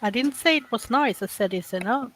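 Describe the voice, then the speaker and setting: A woman chatting casually, hesitant and often pausing to search for words. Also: a little high, animated, fairly bright tone, rough recording. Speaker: chat participant. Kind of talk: casual chat.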